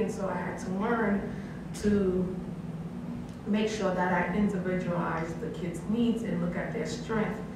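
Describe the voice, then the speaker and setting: A woman of Haitian background, a family counselor on a panel, talking earnestly with many um fillers. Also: fairly low pitch. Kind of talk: unscripted panel talk. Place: college event room. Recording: echoey room, distant mic, background hiss.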